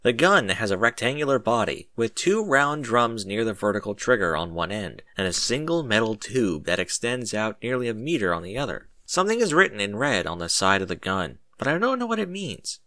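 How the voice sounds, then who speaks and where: A man narrates a story in the first person with dramatic expression. His voice is fairly low and bright, with lively swings in pitch. The sound is dry and close. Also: fairly quick, hardly any pauses, no echo.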